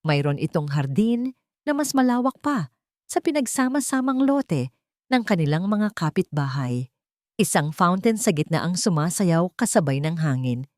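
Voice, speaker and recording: A woman's voice: lowish voice, sing-song, normal speed, a little mellow, no echo, clean studio sound. A woman reading a scripted, dramatic story as its narrator.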